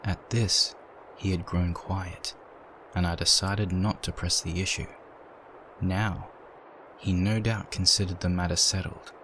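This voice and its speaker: A man reading a dark story aloud in a measured, dramatic narration. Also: deep voice, flat delivery, slight background noise, low-quality recording.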